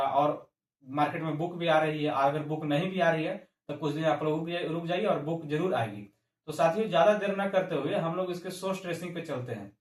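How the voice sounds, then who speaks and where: Echoey, higher-pitched male coaching teacher. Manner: repeats and restarts phrases, mixes in English terms. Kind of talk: informal explanatory lecture to exam aspirants. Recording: echoey room, distant microphone.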